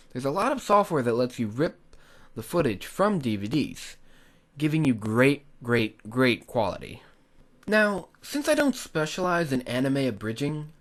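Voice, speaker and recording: Male tutorial host, scripted and earnest, very lively intonation at a medium pitch. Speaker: tutorial host, hobby video creator.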